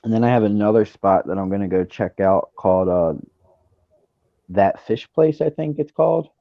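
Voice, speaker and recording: Gravelly low man on a headset. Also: moderate pace, dry recording. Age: 30s. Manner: laid-back, rambling with fillers. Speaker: streamer. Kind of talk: casual chat. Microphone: gaming headset. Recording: dull lo-fi stream audio.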